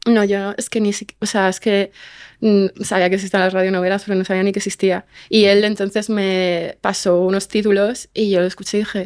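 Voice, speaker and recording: A woman's voice: mid-range voice, flat delivery, brisk, darkish tone. A woman with a Spain Spanish accent chatting casually as an interview guest, colloquial and exclamatory as she tells stories. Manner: colloquial, exclamatory, quotes herself. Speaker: podcast guest, fiction writer. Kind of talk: casual chat, storytelling.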